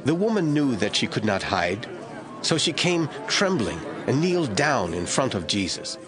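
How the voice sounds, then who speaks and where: Male narrator, medium pitch, lively intonation, expressive scripted scripture storytelling. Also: normal speed, a crowd in the background. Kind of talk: scripted dramatic Bible narration.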